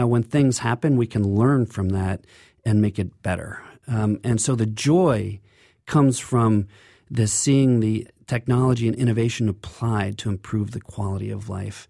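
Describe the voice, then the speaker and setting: A man's voice: on the low side, dark tone, no room sound, clean studio sound. A man speaking as an engineer guest in an interview, explaining things in an earnest way.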